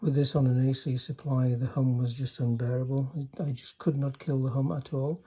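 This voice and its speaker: A man's voice: medium pitch, little pitch movement, moderate pace, warm tone, husky. An older man giving a casual hands-on demonstration, speaking in an understated, hesitant way with trailing remarks. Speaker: radio repairer demonstrating a restored chassis.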